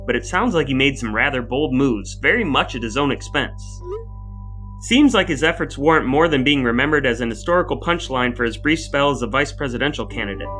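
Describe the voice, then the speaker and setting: A man's voice: mid-range voice, fairly bright tone. A man co-hosting a podcast, moving between scripted narration and banter in a wry, playfully formal and wordy manner. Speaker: podcast co-host.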